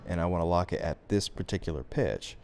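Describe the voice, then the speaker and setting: Low-voiced man giving a software tutorial. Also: brisk. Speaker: instructor. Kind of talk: software tutorial, talking through steps.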